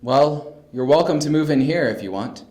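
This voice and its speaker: A man's voice: a little high, lively intonation, moderate pace, a little mellow, natural voice. A man acting a supportive, philosophical older brother in an amateur audio drama, recorded in an echoey room.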